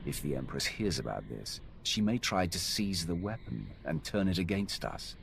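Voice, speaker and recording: An actor playing a stern male Sith warrior commander in a video game, measured and authoritative. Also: deep voice, big pitch swings, vocal fry, background noise, full character voice.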